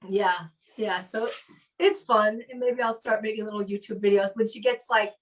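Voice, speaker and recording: A woman's voice: mid-range voice, fairly even intonation, normal speed. A woman hosting a casual online comedy chat from home, rambling along and acting out what people said. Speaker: host of an online comedy show. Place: home, video call.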